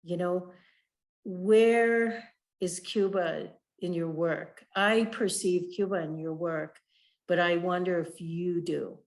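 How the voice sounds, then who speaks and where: A woman interviewing an artist over a video call, asking thoughtful, measured questions with frequent "you know". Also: fairly low pitch, fairly even intonation. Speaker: interviewer, writer and curator. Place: home in the Southwest.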